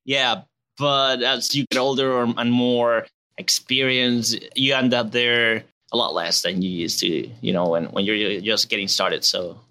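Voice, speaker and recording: A man in his late twenties with a Spanish and Venezuelan accent, a relaxed guest chatting casually outdoors. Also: medium pitch, a little slow. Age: 20s. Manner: laid-back, relaxed and chatty, calls people man.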